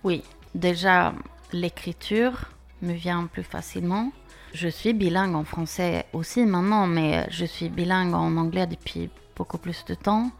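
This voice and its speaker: Husky-edged woman, hesitant speech. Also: on the low side, fairly even intonation, soft background music. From Sweden. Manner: hesitant, halting, soft-spoken. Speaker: guest singer. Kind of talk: radio interview.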